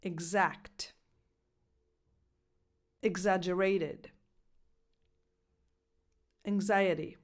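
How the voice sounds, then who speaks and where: A young woman with an Italian accent, giving a scripted English pronunciation lesson as a coach, speaking clearly and slowing down to model words. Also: lowish voice, fairly even intonation. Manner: clear, deliberate, slows down to spell out words.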